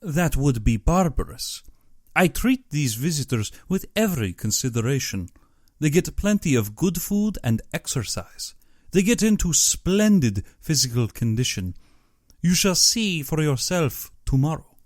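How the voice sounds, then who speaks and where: A man's voice: a little high, big pitch swings, a little slow, dry recording, studio quality, voice pushed up. A man voicing a genteel, menacing general in an audiobook, with pompous and exaggeratedly courteous speech. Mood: smug politeness. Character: genteel, menacing aristocratic general who hunts men.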